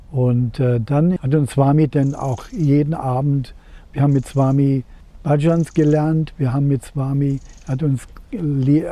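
A man in his seventies from Germany, recalling his life in an interview in a measured, reflective way, searching for words. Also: mid-range voice, dark tone. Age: 70s. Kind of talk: reflective personal recollection in an interview.

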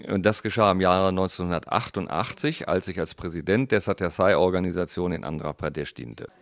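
A man with a very deep voice interprets into German, slow and deliberate. The recording is dry and close, with no room sound. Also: vocal fry, dry recording. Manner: slow, deliberate, careful phrasing.

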